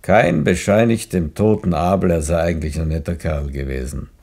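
A grave, bitter man in a radio drama. His low, husky voice is measured and brooding, with a sardonic edge. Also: a little mellow, heavily acted. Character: grave, disillusioned believer, bitter moral accuser. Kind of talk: German radio play acting.

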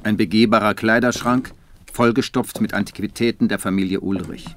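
A low-voiced man , played by an actor, narrates as a disillusioned writer in a radio drama. His delivery is measured and literary. There is some noise in the background. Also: fairly even intonation, a bit bright, natural voice. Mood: sombre.

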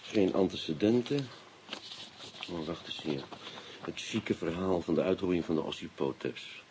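An actor playing a shrewd police inspector in a radio play, asking clipped, politely insistent questions and muttering to himself. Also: male voice, low voice, expressive, moderate pace. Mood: musing, muttering. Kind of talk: Dutch radio drama acting. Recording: dull, noisy old radio recording.

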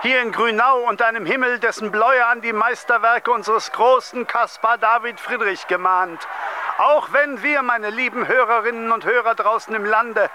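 Casting: high-strung radio reporter, high bright voice, florid declamation, radio play, faint background noise. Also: male voice, steady tone, moderate pace, heavily acted. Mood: effusive, pompous. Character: high-strung, florid Berlin radio reporter, self-doubting, trapped in studio. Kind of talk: German radio drama acting.

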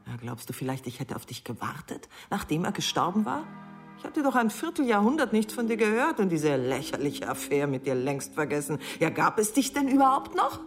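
An actress playing a scornful, mercenary middle-aged wife in a radio play, cutting, mocking and haughty in manner. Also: female voice, deep voice, sing-song, fairly quick, soft background music. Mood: scornful, bitter.

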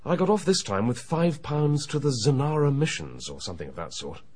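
An actor playing a genial, urbane, well-to-do uncle in a radio drama, dryly weary. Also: male voice, medium pitch, sing-song, brisk, darkish tone, husky. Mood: dryly amused. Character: genial, dry, well-to-do English uncle, middle-aged or older.

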